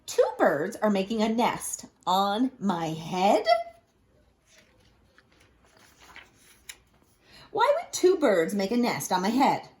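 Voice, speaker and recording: A woman's voice: mid-range voice, big pitch swings, a little slow, a little mellow. Woman acting a flustered, emphatic storybook animal. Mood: incredulous. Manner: incredulous, emphatic questioning. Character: anxious, exasperated, easily flustered storybook animal friend.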